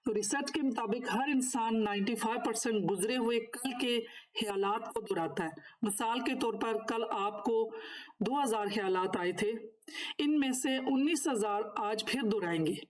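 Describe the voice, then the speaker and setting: A woman's voice: fairly high pitch, moderate pace, poor audio. A woman instructor giving a course lecture, partly reading from notes and partly explaining, in formal, instructive wording.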